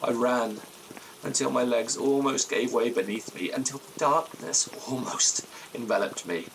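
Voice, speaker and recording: An actor playing a haunted, frightened man, telling his tale in halting, theatrical, literary phrases for a gothic audio drama. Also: medium pitch, vocal fry, a little hiss or hum, rough recording. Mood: haunted, tense. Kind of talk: gothic audio drama, first-person storytelling.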